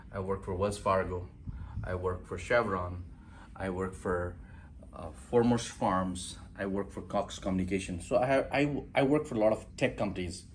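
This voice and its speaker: A man in his forties with a Nepali accent speaks in a fairly low voice, earnest and plain, with frequent "uh" and "um" fillers. He talks like a founder giving a welcome address. A faint hum sits behind him. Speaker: university founder. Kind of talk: promotional welcome talk.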